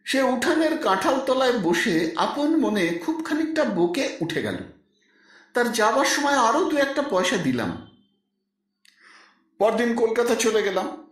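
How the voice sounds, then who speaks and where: A man narrating a literary story in the first person, with an expressive storyteller's delivery. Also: high-pitched. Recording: very echoey, slightly distant microphone.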